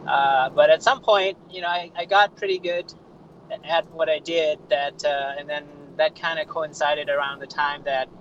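A man speaks as a guest in a casual podcast interview, matter-of-fact as he lists his training. His voice sits a little high, and it comes through dull and lo-fi with a faint hiss. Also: steady tone, moderate pace, fairly bright tone. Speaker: guest, martial artist.

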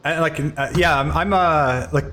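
A man's voice: fairly high pitch, moderate pace, a bit bright, a little hiss or hum. A man hosting a podcast interview, casual and enthusiastic, often amazed by his guests. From United States. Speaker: podcast host.

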